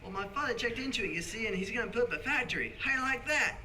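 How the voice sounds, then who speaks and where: A man's voice: very high-pitched, expressive, fast, pushed and bright, slight background noise, full character voice. An actor playing a brash, jovial young businessman, breezy and boastful, in a 1940s-style radio play.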